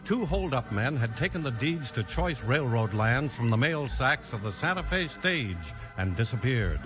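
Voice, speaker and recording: A man's voice: mid-range voice, big pitch swings, moderate pace, music in the background. A man doing polished, emphatic scripted announcing and narration for a 1950s radio show. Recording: old 1950s radio recording.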